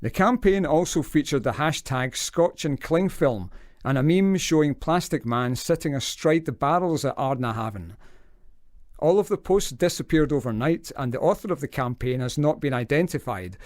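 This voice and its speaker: Man acting news presenter, formal bulletin delivery, slightly high voice, dry studio sound, audio drama. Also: lively intonation, normal speed, no room sound, close to an everyday voice. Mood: formal, composed. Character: formal male radio newsreader, easily flustered.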